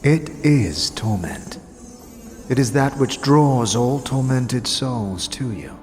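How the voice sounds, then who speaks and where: A man voicing a solemn, cryptic otherworldly being in a fantasy audio drama, measured and portentous, drenched in reverb. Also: medium pitch, expressive, a little mellow. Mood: solemn, ominous. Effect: long reverb. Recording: long reverb with music behind.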